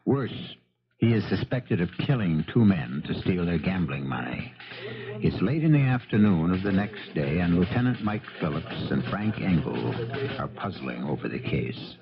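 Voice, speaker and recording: Deep, gravelly male radio mystery host. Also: very animated, moderate pace. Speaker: host and narrator. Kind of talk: dramatic scripted narration, 1970s radio. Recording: dull vintage radio recording. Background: music.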